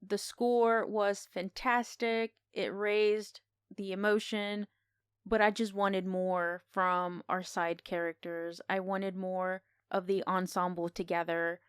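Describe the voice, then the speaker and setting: A woman's voice: mid-range voice, little pitch movement, slow pace, clear, resonant tone, no room sound, studio quality. A woman co-hosting a podcast, talking casually as she gives her review of a film.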